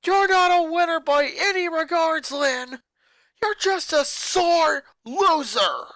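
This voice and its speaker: A man's voice: very high-pitched, animated, slow, no echo, full character voice. A man voicing an angry eleven-year-old boy who rants and accuses his sisters in a fanfic reading. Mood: furious. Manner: ranting, accusing, voice rising to shouts.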